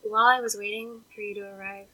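A woman hosting a podcast in casual chat, chatty and giggly, her voice a little stuffed up and croaky. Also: medium pitch, flat delivery, fast, sharp tone, low-quality recording. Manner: chatty and giggly, often laughing. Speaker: podcast host.

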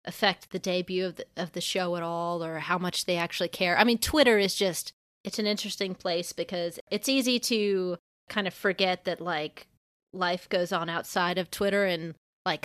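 A woman's voice: on the low side, a bit bright, smooth, no echo, studio quality. A woman co-hosting a podcast in casual discussion, thinking aloud in a rambling, hedged way. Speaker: podcast co-host.

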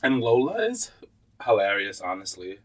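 Friendly podcast host man, lowish voice. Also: very animated, moderate pace. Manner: friendly, uses many fillers like "you know" and "like". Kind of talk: casual interview chat.

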